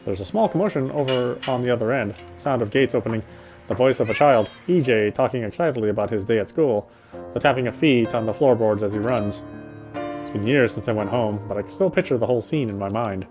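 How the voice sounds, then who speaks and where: Mid-pitched man reading game text aloud. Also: fairly quick, over music. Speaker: gamer reading a visual novel aloud. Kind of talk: let's play read-aloud with casual asides.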